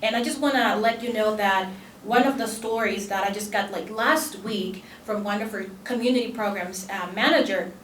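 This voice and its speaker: A woman's voice: mid-range voice, normal speed, fairly bright tone, slight background noise. A woman from El Salvador with a Salvadoran Spanish accent speaks on a conference panel, earnest, with many fillers and restarts. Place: conference room. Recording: echoey conference room from a distance.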